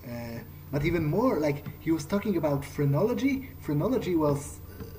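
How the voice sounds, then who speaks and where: A man's voice: a little high, animated, moderate pace, warm tone, slight background noise, rough recording. A man giving casual, improvised video game commentary in a hesitant, wry, thinking-aloud manner. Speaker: let's play streamer playing in character. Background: game music.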